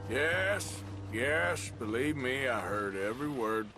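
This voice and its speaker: A man playing a gruff, skeptical outlaw with an American accent in a video game cutscene, dry, grumbling and wearily sarcastic. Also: medium pitch, animated, slow, music in the background. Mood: wearily resigned.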